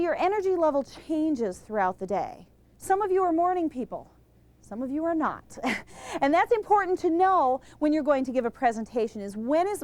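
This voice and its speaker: A woman giving an energetic lesson on public speaking to a live group, emphatic and quizzing the room. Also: high voice. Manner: energetic, emphatic, asks questions of the audience. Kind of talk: instructional talk to a live group.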